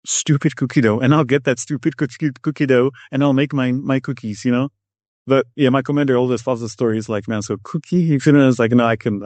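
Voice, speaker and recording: A middle-aged Czech man with a Czech accent, a guest in an interview, telling a story in a rambling, animated way and acting out what people said. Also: medium pitch, no room sound. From Czech Republic. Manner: rambling, animated, acts out quotes. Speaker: guest, Air Force master sergeant.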